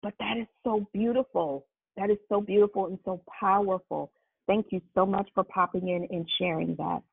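Gravelly-voiced woman hosting a prayer call. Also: mid-range voice, fairly even intonation, normal speed, no room sound. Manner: effusive, exclaims praise. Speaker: host and Bible teacher. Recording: tinny phone line.

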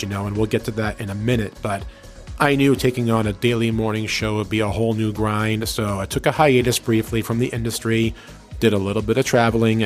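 A man working as a radio show host, talking conversationally with a bit of showmanship. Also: lowish voice. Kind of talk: conversational radio interview and reminiscence.

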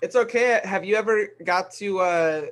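A man's voice: high voice, moderate pace, fairly bright tone, slight background noise. A man co-hosting a podcast, chatting and asking questions in a casual interview style. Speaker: podcast co-host.

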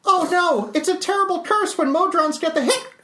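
A man's voice: very high voice, moderate pace, pushed and bright, voice pushed up. A man voicing a hiccuping little male Modron construct, cheerful and naive, in a tabletop game, recorded with a roomy echo. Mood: chirpy.

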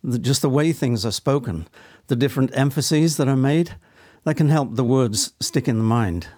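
A man in his seventies with an English accent, speaking reflectively and haltingly as an interview guest. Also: mid-range voice, a little mellow, no room sound. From England. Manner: halting, deliberate.

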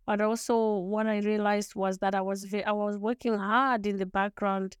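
A woman's voice: mid-range voice, flat delivery, moderate pace, clean tone, dry recording, clean studio sound. A woman from Zimbabwe with a Zimbabwean accent, a native Shona speaker, telling her story as a podcast guest in an earnest, thoughtful way. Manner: earnest, thoughtful, frequent restarts and small laughs. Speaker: guest, lawyer. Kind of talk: podcast interview, reflective storytelling.

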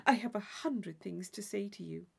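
A woman's voice: mid-range voice, normal speed, gravelly, a little mellow, husky. A woman voicing a gushing, theatrical young society lady in a classic novel reading, effusive, affected and intense. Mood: gushing, chatty.

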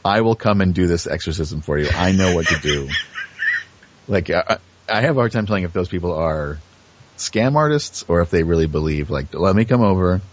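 A man's voice: sits low, animated, normal speed, noisy background. A man chatting casually on a podcast, feeling his way hesitantly through his thoughts. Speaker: podcast host as guest. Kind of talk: casual conversation. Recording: dull lo-fi audio.

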